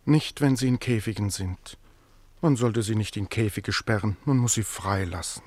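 A man's voice: on the low side, moderate pace, darkish tone, dry recording, lightly acted. An actor playing a meek Greek tailor in a radio play, who coaxes animals like babies and speaks deferentially to his betters. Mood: quiet conviction. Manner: coaxing baby talk to animals, deferential to his lord. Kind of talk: theatrical radio play acting.

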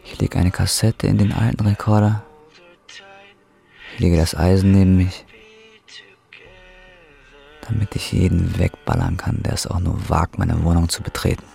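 Bitter broke young roofer, confrontational, radio play style, music underneath. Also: male voice, deep voice, fairly even intonation, moderate pace, creaky, long pauses, mellow. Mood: dark, brooding. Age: 20s. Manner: embittered, colloquial, confrontational. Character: bitter, defiant, broke injured roofer in his twenties. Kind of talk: German radio drama acting and brooding first-person narration.

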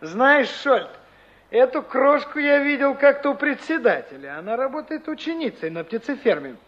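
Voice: high-pitched, expressive, normal speed. A performer playing a gossipy, needling workmate from a building crew in a Soviet radio play. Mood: teasing, knowing. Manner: teasing, colloquial. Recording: dull 1960s radio play recording.

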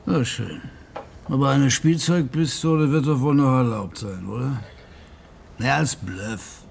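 A man's voice: mid-range voice, measured pace, creaky, darkish tone, a little hiss or hum. An actor playing an eager, insistent male schemer in radio drama dialogue.

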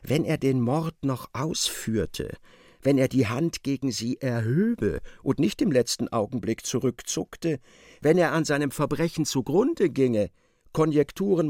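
Expressive male narrator, lively intonation. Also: mid-range voice, dry recording, clean studio sound. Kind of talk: literary scripted narration for a radio play. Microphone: close studio microphone.

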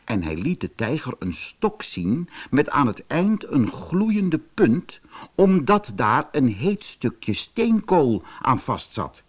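A man's voice: mid-range voice, big pitch swings, slow, breathy edge. Een man die als verteller een sprookje voorleest voor kinderen. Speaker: storyteller. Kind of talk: scripted fairy-tale narration for children.

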